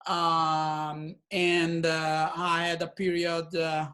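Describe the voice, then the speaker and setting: A man from Italy with an Italian accent, a filmmaker speaking hesitantly at an online community meeting over a video call, with many pauses and fillers. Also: fairly high pitch, monotone, bright, forceful tone.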